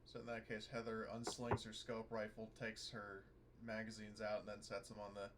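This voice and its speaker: A man playing a tabletop roleplaying game, chatting casually and describing his character's moves on a very quiet microphone. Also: on the low side, monotone, moderate pace. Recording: very quiet mic, loud noise.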